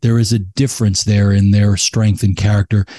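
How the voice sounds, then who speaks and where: A man's voice: on the low side, flat delivery, normal speed, dark tone, dry recording, clean studio sound. A man speaking as an expert guest in a casual chat, emphatic and opinionated about his craft. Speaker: expert podcast guest, cigar blender.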